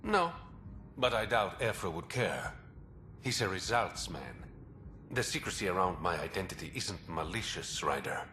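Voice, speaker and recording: Shady info broker, really low voice but crisp and lively. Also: male voice, moderate pace, a little hiss or hum, full character voice. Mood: confiding. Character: shadowy male underworld information broker.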